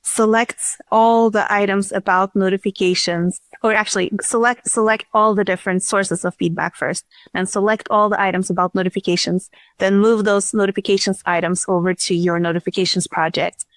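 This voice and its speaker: A woman's voice: mid-range voice, fairly even intonation, brisk, dry recording. A woman talks as an interview guest, walking through a demo in casual, step-by-step fashion. Her voice is recorded dry and close, with no room sound. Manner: step-by-step, informal explaining. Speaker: podcast guest, startup chief executive. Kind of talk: casual product demo explanation.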